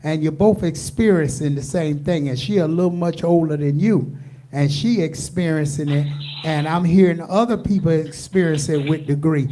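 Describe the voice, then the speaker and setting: A woman's voice: sits low, moderate pace, warm tone. An American woman hosting a radio talk show, talking emphatically and asking rhetorical questions.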